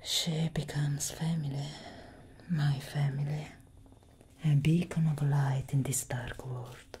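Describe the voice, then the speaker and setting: Woman acting a gothic vampire elder sister, breathy ASMR whisper, slow, dark soft tone, protective, dramatic, faint ambience behind. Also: low-pitched, steady tone, slight background noise. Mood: tender, sorrowful.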